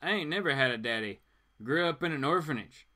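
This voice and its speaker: A man's voice: a little high, lively intonation, a little fast, bright, forceful tone. A man voicing a plain-spoken family man from a comic book, with rustic, folksy phrasing. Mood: folksy, wistful.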